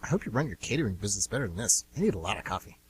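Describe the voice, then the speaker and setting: A woman playing a secretive, touchy younger brother with a husky voice and evasive, hesitant delivery in an amateur radio drama. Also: rumbling low, sing-song, rapid-fire, a little hiss or hum, rough recording. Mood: defensive, irritable. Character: shifty, defensive younger brother, secretive grown man.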